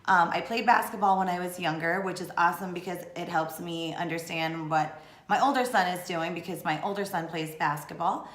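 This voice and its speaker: A woman's voice: fairly low pitch, fairly quick, a bit bright. Chatty woman vlogger in an echoey room. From Sri Lanka. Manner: chatty, rambling with many fillers. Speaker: blogger and vlogger. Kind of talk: casual talking-to-camera vlog.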